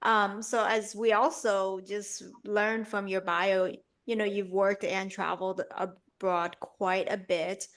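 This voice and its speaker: A woman's voice: medium pitch, level tone, slow pace, few pauses. A woman hosting a podcast interview, affirming and curious as she asks questions. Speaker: podcast host. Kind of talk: interview chat.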